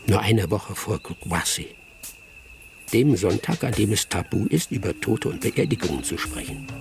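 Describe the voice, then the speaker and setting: An actor playing a wry old village hunter in his seventies, narrating a radio drama in earthy, unhurried storytelling. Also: lowish voice, animated, vocal fry, a little mellow, faint music behind. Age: older.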